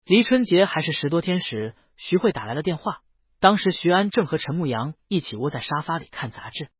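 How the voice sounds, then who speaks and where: A man's voice: a little high, very animated, a little fast, breathy edge, dry recording, highs cut off. A man narrating a scripted romance novel as an audiobook, reading close to the mic in a dry recording. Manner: reads character dialogue within the narration.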